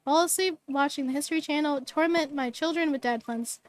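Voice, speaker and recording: A hoarse, bubbly woman streamer reading game text aloud and chatting with viewers, tripping over words now and then. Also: sits high, fairly quick.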